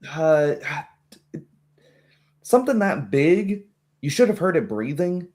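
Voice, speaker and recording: A man voicing a small, blunt gnome woman in a tabletop game, rambling informally and haltingly as she explains things. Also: a little high, very animated, a little mellow, voice pushed up. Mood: musing, uncertain. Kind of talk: tabletop roleplay dialogue.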